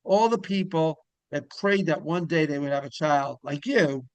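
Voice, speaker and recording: A man's voice: fairly high pitch, normal speed, clean tone, no echo. A man hosting a casual interview, talking in a rambling way that circles back mid-sentence. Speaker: podcast host interviewer.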